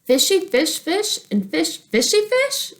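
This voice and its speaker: A woman voicing an eager, silly little girl in a children's storybook, with babyish, excitable talk. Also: very high-pitched, takes it slowly, pitched above the performer's own voice, exaggerated voice. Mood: playful, cheerful. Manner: excitable, makes up babyish nonsense words.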